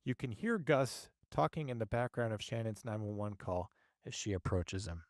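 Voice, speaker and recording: A man's voice: lowish voice, animated, normal speed, no room sound, studio quality. A man hosting and presenting a case breakdown to an online audience, starting phrases with emphasis and letting them fall away. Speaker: host, active 911 dispatcher.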